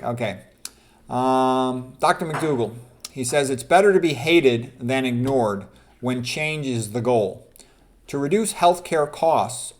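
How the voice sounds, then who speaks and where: Male doctor-presenter, medium pitch, informal lecture style, opinionated and emphatic, alternates reading aloud with commentary. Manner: emphatic, opinionated, repeats points for stress. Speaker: physician presenter.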